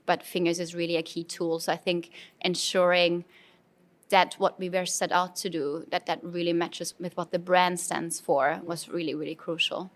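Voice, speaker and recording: German-accented woman, low flat voice. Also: moderate pace. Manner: thoughtful, with frequent fillers and restarts. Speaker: brand manager, interview guest. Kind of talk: conversational interview. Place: conference venue.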